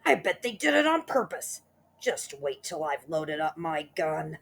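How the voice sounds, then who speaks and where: A woman reading a storybook aloud, voicing a grumpy, nasty old man who yells and blusters. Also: sits low, sing-song, a little slow, creaky, a little hiss or hum, heavily acted. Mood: blustering alarm. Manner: blustering, yelling.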